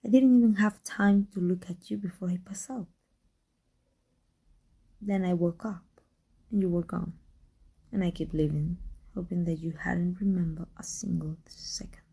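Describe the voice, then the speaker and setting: A woman with a Mexican accent playing a proud, secretly devoted villainess in an intimate ASMR roleplay, halting and emotional. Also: lowish voice, steady tone, creaky, dark tone, husky, low-quality recording. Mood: hushed, pained confession. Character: proud, flustered, secretly devoted villainess with life-transfer power.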